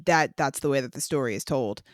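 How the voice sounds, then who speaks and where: A man's voice: medium pitch, a little fast, no room sound, clean studio sound. A man co-hosting a casual movie review podcast, enthusiastic and emphatic. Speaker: podcast co-host.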